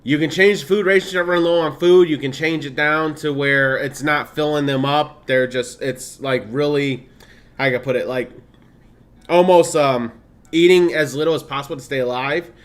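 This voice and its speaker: Male streamer, 30s, blended Midwestern/Southern/Californian accent, slightly high pitch, chatty and laughing. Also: fairly even intonation, normal speed. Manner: chatty, laughs often, reads game text aloud. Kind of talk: casual gameplay stream commentary.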